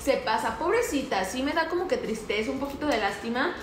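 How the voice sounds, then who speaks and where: A young Mexican woman co-hosting a gossip show, chatting in a lively, mocking way. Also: sits high, brisk, slight background noise. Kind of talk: casual gossip chat. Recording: roomy studio with faint ambience.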